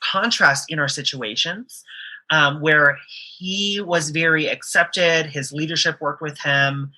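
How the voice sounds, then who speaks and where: A woman talking as a guest on a casual podcast interview. Her voice is very bright and forward. She speaks earnestly and often pauses on um before picking her thought back up. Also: deep voice. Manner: earnest, frequent um fillers and restarts.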